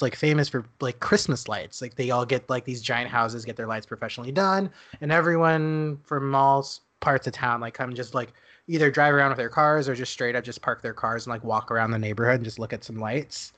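Young male co-host, middle pitch, easygoing chatter full of fillers and laughs. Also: fairly bright tone. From Dallas, Texas. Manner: chatty storyteller, laughs often, many "like" fillers. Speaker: podcast co-host. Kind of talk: casual chat.

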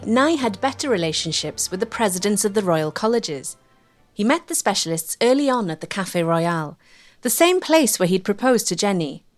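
A woman narrating a history documentary in a clear storytelling manner. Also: mid-range voice.

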